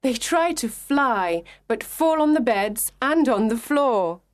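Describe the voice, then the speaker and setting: Slow, clear woman storyteller. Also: on the high side, lively intonation, no room sound. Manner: clear, measured reading. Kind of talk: slow scripted narration for English learners.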